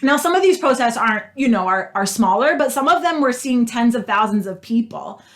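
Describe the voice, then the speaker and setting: A woman's voice: a little high, expressive, a little fast, a bit bright. A woman hosting a political talk show, speaking earnestly with frequent "um" fillers. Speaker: host.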